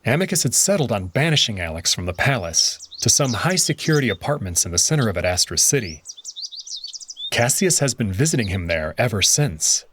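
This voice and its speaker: A man reads first-person story narration, reflective and expressive. His pitch rises and falls with lively movement through each line. A faint bed of outdoor nature sound sits behind him. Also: fairly quick, a little hiss or hum. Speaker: narrator and lead character of a visual novel. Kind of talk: first-person scripted narration of a visual novel.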